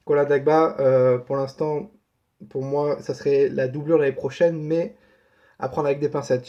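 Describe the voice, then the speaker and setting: A man hosts a casual football news update in a mellow, relaxed voice. He sounds like he has a cold and talks colloquially, often repeating a point to drive it home. The recording is dull and lo-fi. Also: mid-range voice. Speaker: host of a football transfer news channel.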